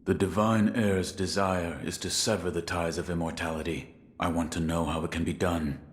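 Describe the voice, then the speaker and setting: Casting: male actor for grave loyal shinobi warrior, very low voice, clipped restrained lines, game dialogue. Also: steady tone, moderate pace, natural voice. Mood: grave, dutiful. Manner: terse, grave, restrained delivery. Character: stoic loyal shinobi warrior, terse and dutiful. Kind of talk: scripted video game cutscene acting.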